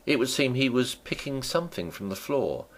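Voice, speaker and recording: A man narrating a classic novel as a dramatic reading, with theatrical, deliberate phrasing. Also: mid-range voice, very animated, a bit bright. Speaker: audiobook narrator.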